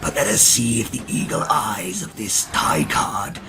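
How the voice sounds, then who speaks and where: Male contemptuous vampire avenger for audio drama: low, gravelly, slow and theatrical, swooping in pitch, over music. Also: sing-song, noisy background. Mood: sly. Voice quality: gravelly, creaky. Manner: brooding, theatrical, drawn-out delivery. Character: proud male vampire hunter, grim, vengeful and contemptuous. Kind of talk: dramatic first-person narration in an audio drama. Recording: music under the voice.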